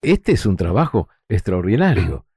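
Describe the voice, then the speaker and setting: A man's voice: low voice, very animated, normal speed, a little mellow, husky, dry recording, studio quality. A man, a broadcaster talking conversationally in an interview. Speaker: radio broadcaster.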